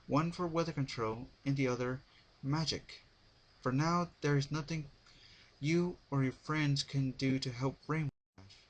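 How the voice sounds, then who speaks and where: A man's voice: mid-range voice, fairly bright tone, poor audio. A man voicing a dignified, caring female pony ruler's formal letter in a dramatic story reading.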